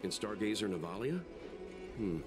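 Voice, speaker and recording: A man voicing a calm, courteous, reserved young traveller in a video game. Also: on the low side, normal speed, lightly acted. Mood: calm, measured. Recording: game dialogue under music.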